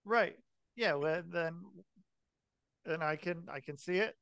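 A man hosting a casual podcast chat, asking informal, halting questions with some swearing. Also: a little high, a bit bright, clean tone, no room sound. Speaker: podcast host.

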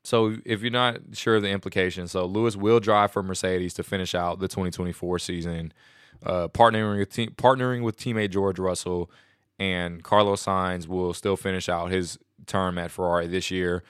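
Low, flat-voiced man, slightly nasal. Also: normal speed, clean tone, dry recording, studio quality. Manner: excited, rambling, casual slang with restarts. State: congested from a stomach bug. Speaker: podcast host. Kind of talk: casual solo commentary.

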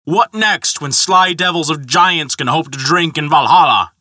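A man voicing a furious, blustering thunder god in a storybook, shouting angry challenges. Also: fairly high pitch, measured pace, no room sound, studio quality, pitched above the performer's own voice. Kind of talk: dramatic storybook narration dialogue.

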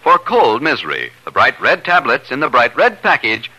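A man's voice: medium pitch, wildly swooping pitch, moderate pace, very noisy. A male announcer reading a scripted advert emphatically in the style of 1950s radio, on a vintage broadcast recording. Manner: emphatic, spells out words.

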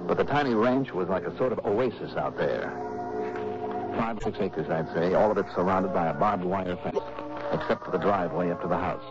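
Guy doing a cool dry old-time radio detective, lowish voice, clipped and unruffled, muffled noisy recording. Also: normal speed, over music, natural voice. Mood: measured, descriptive. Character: cool, wry male insurance investigator, self-assured. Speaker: lead detective and narrator. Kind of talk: 1960s radio drama acting and narration.

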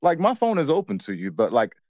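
A man co-hosting a casual relationship talk. His pitch moves around a lot as he teases and repeats his points. The sound is dry, with little room in it. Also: huge pitch swings, quick pace, darkish tone, dry recording. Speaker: podcast co-host.

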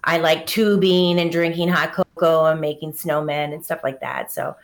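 Chatty woman from Hawaii, lowish voice. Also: moderate pace. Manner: chatty, animated storytelling. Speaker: podcast guest. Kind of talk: casual chat.

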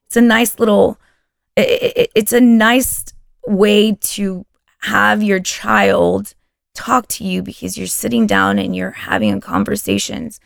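Woman, from Texas, native Spanish speaker, mid pitch, gravelly fry, podcast guest, casual storytelling. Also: lively intonation, measured pace, no room sound, clean studio sound. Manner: warm storytelling with frequent restarts. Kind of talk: casual interview chat.

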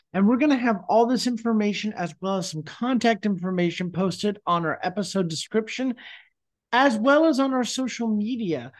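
A man hosting an interview podcast, speaking in a polished, announcing style. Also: high voice. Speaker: podcast host.

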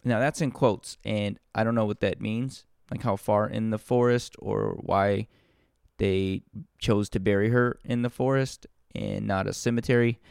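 A man reading a storybook and breaking off into casual, rambling, deadpan joking asides. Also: fairly low pitch, a little slow, gravelly, smooth, no echo, clean studio sound. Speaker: storybook reader and comedian.

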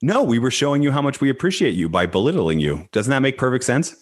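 A man's voice: mid-range voice, big pitch swings, quick pace. A man, talking casually on a podcast in a dry, sarcastic way, often checking in with "right?". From Massachusetts, United States. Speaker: guest expert.

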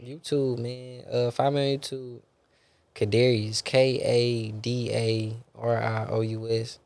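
A man's voice: mid-range voice, flat delivery, takes it slowly, a little mellow. A young adult man, a gospel rapper talking loosely in a casual interview, rambling and dropping bro and man often.

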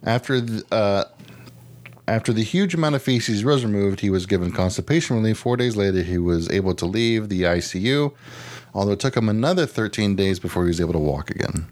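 Low-voiced man bantering on a podcast. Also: expressive, fairly quick, a little mellow. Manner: jokey, crude toilet humor. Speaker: podcast co-host. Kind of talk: casual comedic chat, partly reading out odd news stories.

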